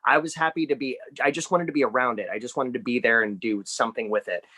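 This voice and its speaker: A man's voice: mid-range voice, quick pace, bright, forceful tone. A man from Ohio, a podcast guest, answering questions about his life in a friendly, rambling way. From Ohio, USA. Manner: friendly, rambling, restarts sentences. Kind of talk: casual interview chat.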